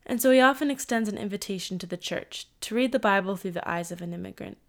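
A woman delivers scripted documentary narration at a steady, measured pace. Her voice sits mid-range and her delivery stays even throughout. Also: medium pitch. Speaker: narrator, host.

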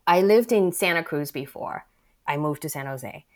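A woman with a lowish voice, talking as an interview guest. She speaks in a reflective, measured way and often pauses and trails off while she works through her thoughts. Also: lively intonation. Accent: Vietnamese. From Vietnam. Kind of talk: conversational interview.

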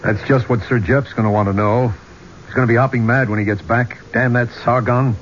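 An actor playing an affable but hot-headed archaeologist with a casual, blunt manner in a 1970s radio drama. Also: male voice, mid-range voice, fairly even intonation, fairly quick, close to an everyday voice. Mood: irritated. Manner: casual, plain-spoken, blunt. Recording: muffled, noisy 1970s radio recording.

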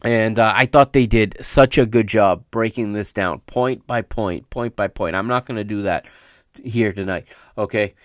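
A man hosting a solo sports podcast, speaking off the cuff in an emphatic, halting way, his voice slightly raspy as it recovers from illness. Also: on the low side, dry recording, studio quality. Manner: emphatic and halting, often checks in with "okay?". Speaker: podcast host. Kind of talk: unscripted solo sports commentary.

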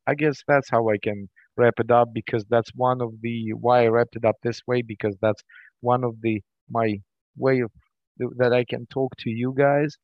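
A man's voice: mid-range voice, dry recording, muffled recording. A man from Ukraine speaking English with a Ukrainian accent, answering as an interview guest with many fillers and restarts.

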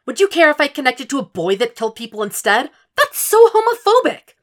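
A woman's voice: animated, normal speed, lightly acted. A woman voicing a distraught young woman who argues on the phone and pleads for reassurance. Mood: outraged. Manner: pleading, high-strung, shouting in anger.

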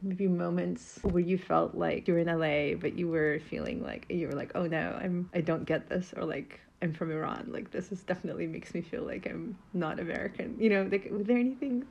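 A woman's voice: fairly low pitch, fairly even intonation, brisk, vocal fry, few pauses, darkish tone. Curious woman interviewer, dull sound. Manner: curious, probing questions. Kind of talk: casual conversation.

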